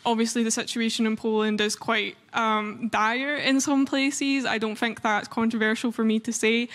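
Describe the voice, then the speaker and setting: Young Scottish woman, panel speaker, fairly high voice, earnest and filler-heavy, in a long reverberant hall. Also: fairly bright tone. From Scotland. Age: young adult. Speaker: panel speaker, youth activist. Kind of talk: panel discussion remarks.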